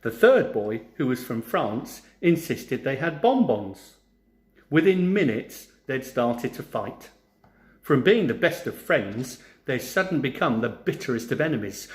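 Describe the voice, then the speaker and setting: A man narrating a storybook for children in an expressive, theatrical way, like a teacher. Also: fairly high pitch. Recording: roomy, echoing sound.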